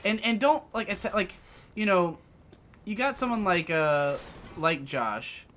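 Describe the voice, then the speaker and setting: Young man, casual co-host chat, rambling, swears, a little high-pitched. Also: expressive, a little slow, fairly bright tone, slight background noise.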